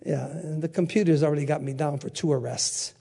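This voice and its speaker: A man's voice: on the high side, steady tone, brisk, creaky, dark tone, breathy edge. An older man preaching a sermon, repeating key phrases and calling out questions for the congregation to answer. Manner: call-and-response, emphatic repetition.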